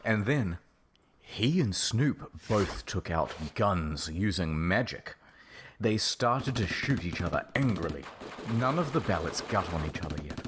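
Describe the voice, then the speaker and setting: A man's voice: low-pitched, animated, measured pace, background noise. A man narrating a comic story in a mock-serious, theatrical way. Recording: music behind the voice. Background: music.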